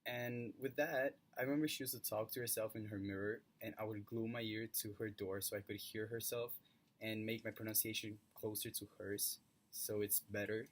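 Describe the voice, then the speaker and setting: A man's voice: on the low side, little pitch movement, moderate pace. Young Brazilian man telling his story. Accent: Brazilian Portuguese. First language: Portuguese. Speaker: student. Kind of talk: personal narrative presentation.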